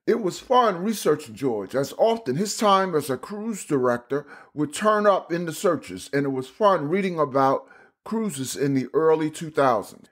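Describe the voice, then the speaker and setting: High-pitched male podcast host. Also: animated, unhurried. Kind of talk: podcast interview, scripted introduction.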